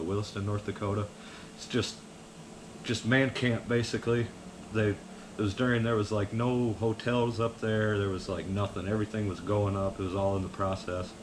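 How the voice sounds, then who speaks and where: A man's voice: on the low side, background noise. A man tells casual, meandering personal stories in a folksy way. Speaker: vlogger telling personal stories. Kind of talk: casual rambling storytelling.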